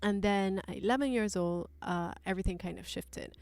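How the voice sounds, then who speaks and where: A woman's voice: mid-range voice, normal speed, creaky, darkish tone, no room sound. A woman from Barbados, talking candidly and thoughtfully as a guest in a personal interview. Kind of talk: reflective personal conversation.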